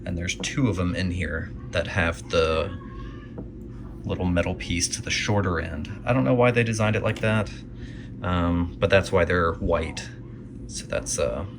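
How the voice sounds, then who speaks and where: Low-voiced man with clear background noise. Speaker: video host and radio restorer. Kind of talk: casual hands-on vlog narration. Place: tiny home workshop.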